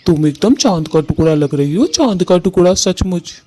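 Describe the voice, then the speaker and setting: A man's voice: high voice, lively intonation, normal speed, mellow. A man voicing an earnest, devoted male bird in a Hindi family cartoon, speaking affectionately. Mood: admiring.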